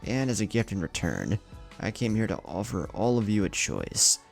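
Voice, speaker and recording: Undead old male warlord man narrator acting, storybook villain: deep, creaky, calmly taunting, amused. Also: moderate pace, faint music behind, exaggerated voice. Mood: calm menace. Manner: calm, drawling, taunting.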